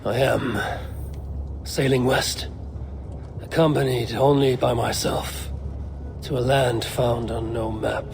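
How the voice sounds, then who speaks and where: A man playing a weary, resolute, middle-aged Viking warrior with a measured, solemn delivery in a game cutscene. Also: mid-range voice, steady tone, creaky. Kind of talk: dramatic video game cutscene acting. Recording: loud ambient noise behind the voice.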